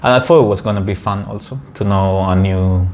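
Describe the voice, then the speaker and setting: Young Peruvian man, low voice. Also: fairly quick, a little mellow, slight background noise. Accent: Peruvian Spanish. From Peru. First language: Spanish. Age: young adult. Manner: hesitant, many fillers and restarts. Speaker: podcast co-host. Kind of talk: casual chat.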